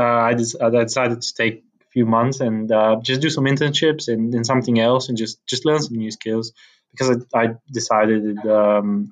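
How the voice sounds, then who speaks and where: A man's voice: medium pitch, poor audio. A man in his thirties from Bulgaria, a native Bulgarian speaker, chatting as a podcast guest in an informal, hesitant, rambling way. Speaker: podcast guest, tech founder. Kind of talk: casual conversation.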